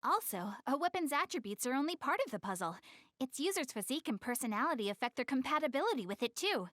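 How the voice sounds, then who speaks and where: An actress voicing a proud, easily flustered young anime goddess in a video game, haughty yet earnest in manner. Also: female voice, high-pitched, very animated, fast, fairly bright tone, no echo, studio quality. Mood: earnest explaining. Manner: haughty, stammers when flustered, explains things earnestly. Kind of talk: English anime game voice acting.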